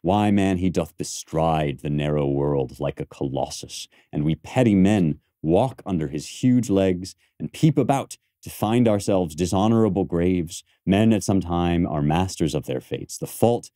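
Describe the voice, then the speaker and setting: A man's voice: deep voice, measured pace, hardly any pauses, warm tone, no echo, clean studio sound. A man playing a proud, resentful Roman conspirator in emphatic, rhetorical Shakespearean verse. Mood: stirring, resentful. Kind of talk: dramatic Shakespearean verse recitation.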